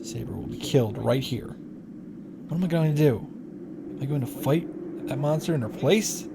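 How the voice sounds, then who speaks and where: A man reading a visual novel's grave young protagonist in a hushed, solemn, measured way. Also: mid-range voice, animated, creaky, background noise. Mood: grim, hushed. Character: grave young male protagonist narrating a deadly battle. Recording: music behind the voice. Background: music.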